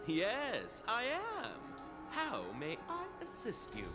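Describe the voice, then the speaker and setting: A man's voice: very high-pitched, wildly swooping pitch, slow, exaggerated voice. An actor playing a polite, accommodating male hospitality worker in a video game, formal and obliging in manner. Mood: polite, apologetic. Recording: game music behind the voice.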